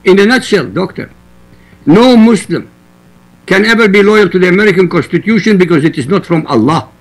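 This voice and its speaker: A man's voice: sits high, expressive, brisk, low-quality recording. A man from Iraq whose first language is Arabic, arguing emphatically as a guest in a roundtable debate. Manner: forceful, emphatic quoting. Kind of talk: debate argument.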